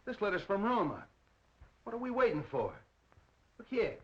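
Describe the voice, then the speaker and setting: An actor playing a brusque, bullying police captain who questions curtly, in a dull-sounding 1930s film. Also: male voice, high voice, big pitch swings, moderate pace, a bit bright. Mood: brisk, commanding. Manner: curt, interrogating.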